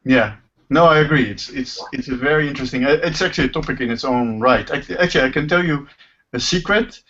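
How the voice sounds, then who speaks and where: A man hosting an informal live online discussion as a professor, speaking haltingly and rephrasing as he goes over a video call. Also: medium pitch, fairly bright tone. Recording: muffled lo-fi video call audio.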